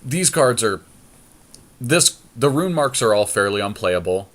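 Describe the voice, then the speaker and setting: A man's voice: mid-range voice, animated, unhurried, fairly bright tone. A man co-hosting a casual podcast, talking through a card game draft in a blunt, opinionated way. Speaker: podcast co-host.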